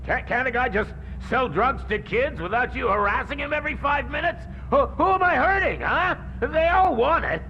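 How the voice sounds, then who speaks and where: A very high, gravelly male street dealer from a video game, cornered and defiant. He taunts and whines frantically through slightly dull audio. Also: expressive, normal speed, long pauses, bright, forceful tone, a little hiss or hum, heavily acted. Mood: whiny, defensive. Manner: taunting, whiny, stammering protest.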